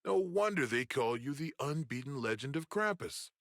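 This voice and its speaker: A man's voice: mid-range voice, animated, normal speed, creaky, a bit bright, dry recording, clean studio sound. An actor voicing a scheming, arrogant male corporate enforcer who taunts and threatens in a game cutscene. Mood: taunting. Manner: mocking, taunting.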